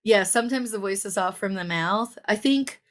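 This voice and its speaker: A woman's voice: medium pitch, moderate pace. A woman co-hosting a podcast, chatting casually and rambling with lots of ums and likes. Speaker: podcast co-host. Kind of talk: casual chat.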